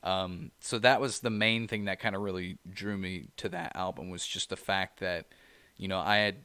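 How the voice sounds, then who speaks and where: Low-voiced man in rambling casual chat. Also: fairly even intonation, moderate pace, sharp tone, clean tone, no room sound. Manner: rambling, lots of "you know" fillers. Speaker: podcast co-host.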